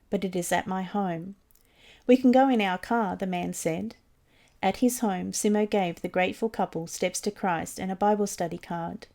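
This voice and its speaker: A woman's voice: mid-range voice, vocal fry, warm tone. A woman reading a scripted mission story as a volunteer narrator. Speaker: volunteer reader of mission stories.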